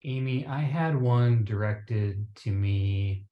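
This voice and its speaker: A man's voice: fairly low pitch, slow. A man on a video call, hesitantly passing along a question from the chat. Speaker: webinar co-host relaying a chat question. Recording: dull echoey call audio.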